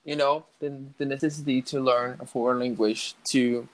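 Brazilian guy, late twenties, Portuguese accent, halting English, lots of "you know", mid-pitched voice. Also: fairly even intonation, poor audio. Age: 20s. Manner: hesitant, many restarts and "you know" fillers. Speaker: guest, network engineer learning English. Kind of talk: casual interview.

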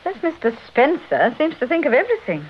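An actress playing a detective's spirited, affectionate British wife with an assured manner in a vintage radio drama. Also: female voice, high voice, wildly swooping pitch, brisk. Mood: poised. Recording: muffled, hissy old radio recording.

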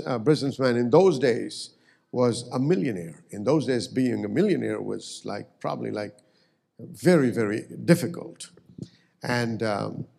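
A man's voice: medium pitch, vocal fry, darkish tone, slightly rough. A man preaching a sermon as pastor, emphatic and swelling on key words.

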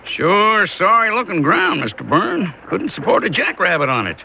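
A suspicious frontier cowboy in a radio Western, folksy and probing. The voice is very high for a man and swings widely in an American Western drawl, over a noisy recording. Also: huge pitch swings, normal speed, creaky, bright, forceful tone, full character voice. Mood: skeptical, probing. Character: plain-spoken, suspicious Old West cowboy townsman. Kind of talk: Western radio drama acting.

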